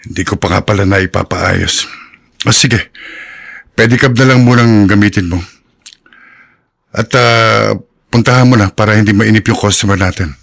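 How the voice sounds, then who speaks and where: A practical Filipino father in a radio drama. His voice is on the low side, and he talks in a polite, slightly hesitant way. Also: moderate pace, gravelly, long pauses, a bit bright. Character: mild, practical father who runs a gas dealership.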